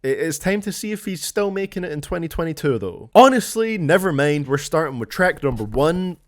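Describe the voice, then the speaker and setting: A man's voice: fairly high pitch, expressive, a little fast. A man giving casual album reaction commentary as a reviewer and host, in a blunt, sardonic, mildly profane way.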